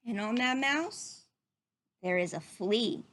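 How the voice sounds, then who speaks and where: A woman's voice: fairly high pitch, animated, slow, fairly bright tone. A woman reading a picture book aloud to young children like a teacher, drawing out phrases and putting questions to her listeners.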